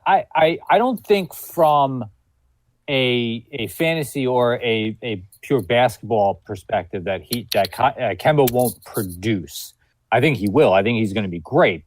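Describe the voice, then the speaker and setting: A man with a mid-range voice arguing the contrarian side on a sports podcast. He is animated and keeps restarting his phrases. The sound is close and dry. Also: mid-range voice, dry recording. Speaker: podcast co-host. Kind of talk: casual podcast chat.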